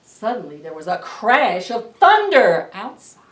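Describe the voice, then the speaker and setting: A middle-aged woman hosting a children's storytime, reading aloud in an expressive, deliberate way to young listeners. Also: medium pitch. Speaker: library storytime host. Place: indoor library children's room. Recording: roomy echo, distant camera microphone.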